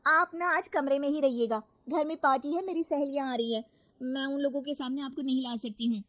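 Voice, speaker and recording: A woman's voice: sits high, brisk, a bit bright, voice pushed up. A woman voicing a haughty, petulant young city wife in an acted story. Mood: dismissive. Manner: petulant, snobbish. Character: haughty, whiny young city wife, embarrassed by mother-in-law.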